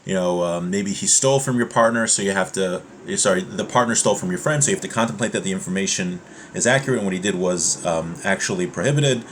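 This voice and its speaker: A man giving an informal religious lesson, explaining step by step in a halting way and rephrasing his points as he goes. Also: low-pitched, fairly bright tone, a little hiss or hum. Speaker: religious teacher giving a class.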